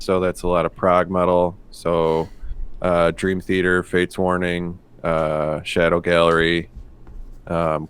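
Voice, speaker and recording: A man's voice: low-pitched, smooth. A man chatting casually as a game player, informal and joking at his own expense. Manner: self-deprecating, joking, informal. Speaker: player on a tabletop game stream. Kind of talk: casual chat.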